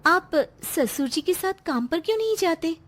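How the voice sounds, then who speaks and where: A woman's voice: very high voice, expressive, normal speed, voice pushed up. A woman voicing an earnest, determined young wife and mother in a story, polite and pleading. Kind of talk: acted story dialogue.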